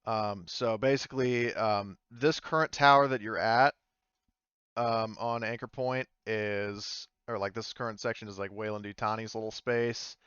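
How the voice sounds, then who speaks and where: A man working as game master, narrating a tabletop game casually and haltingly as he thinks aloud. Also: on the low side, fairly even intonation, a bit bright, clean tone, dry recording, highs cut off.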